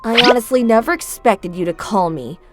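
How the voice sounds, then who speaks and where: A woman's voice: a little high, animated, brisk, lightly acted. A woman voicing a mocking, spiteful teenage girl villain who sneers and taunts. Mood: taunting. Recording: music behind.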